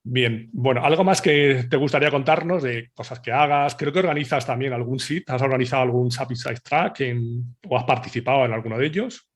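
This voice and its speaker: A man from Spain hosting an interview podcast, talking in a relaxed, conversational way and backing the guest with short "ujú" replies. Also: mid-range voice, fairly quick, few pauses. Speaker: podcast host. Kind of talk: casual interview chat.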